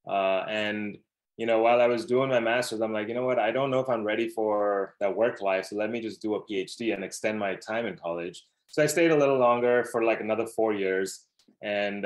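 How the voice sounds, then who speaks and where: A man in his thirties from Dubai, a podcast guest telling his life story in a loose, rambling way with many fillers. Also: on the low side. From Dubai, Middle East. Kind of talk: casual storytelling interview.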